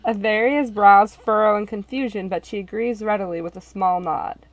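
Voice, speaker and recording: A woman narrates a visual novel in expressive storytelling style. Her voice sits at a medium pitch. The recording is close and dry, with no room sound. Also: normal speed, no room sound, poor audio.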